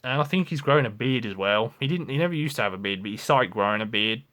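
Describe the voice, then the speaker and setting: A man's voice: medium pitch, expressive, quick pace, fairly bright tone. A man, talking frankly and ramblingly in a confessional vlog, with lots of restarts and swearing. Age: 20s. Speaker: vlogger.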